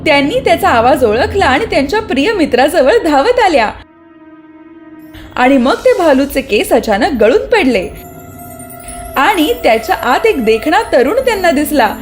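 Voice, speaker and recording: A woman narrating a scripted children's story as an expressive storyteller. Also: sits high, moderate pace, faint music behind.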